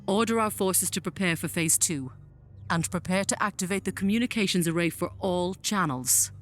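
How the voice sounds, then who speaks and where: A woman playing a commanding alien general in a scripted audio drama, speaking in a formal, measured, imperious manner. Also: fairly low pitch, faint music behind, lightly acted. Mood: stern, impatient. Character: commanding alien female general, proud, coldly persuasive mother.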